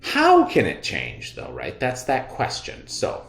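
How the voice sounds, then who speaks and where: A man's voice: medium pitch, wildly swooping pitch, slow pace, gravelly, a bit bright. A man teaching a read-aloud lesson for young children, explaining things expressively like an elementary teacher. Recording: echoey room sound.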